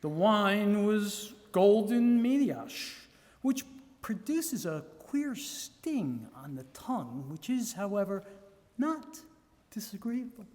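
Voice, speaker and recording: A man reads a gothic journal aloud in a theatrical, measured way with dramatic pauses. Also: high voice, very animated, a little mellow. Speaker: reader. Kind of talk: dramatic live reading of a gothic novel journal. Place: live public reading in a reverberant room. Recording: echoey hall with long reverb.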